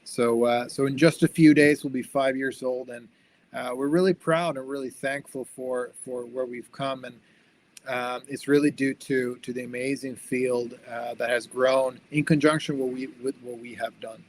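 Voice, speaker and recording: A young man presents on a video call in a friendly, upbeat way. He talks at a middle pitch and often stumbles into repeated words before carrying on. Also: steady tone, normal speed. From born in Sweden, raised in the United States. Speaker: guest speaker, company founder. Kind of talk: online seminar talk with slides.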